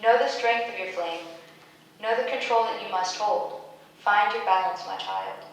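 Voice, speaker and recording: A solemn, maternal nature goddess played by a woman speaks in stately incantations for a stage drama. Her pitch is medium. The sound is distant and heavily reverberant, with faint noise behind it. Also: moderate pace, natural voice. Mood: solemn, tender. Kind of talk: staged reading of a play. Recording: very echoey room, distant mic, background noise.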